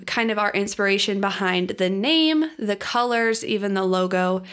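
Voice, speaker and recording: A woman's voice: mid-range voice, a bit bright. Upbeat young woman podcast co-host. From Oregon. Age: young adult. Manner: upbeat, lays out the plan for the episode. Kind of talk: casual chat.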